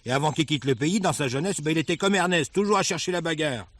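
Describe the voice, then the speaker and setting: A man's voice: fairly high pitch, quick pace, no room sound, natural voice. An actor playing a plain-spoken, deferential village fireman giving testimony in a colloquial, hesitant way, in a French radio crime drama. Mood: chatty, confiding.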